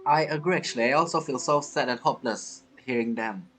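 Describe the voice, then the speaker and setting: A man streaming a game with casual commentary, thinking aloud in halting, non-native phrasing. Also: mid-range voice, fairly bright tone. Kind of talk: casual let's play commentary.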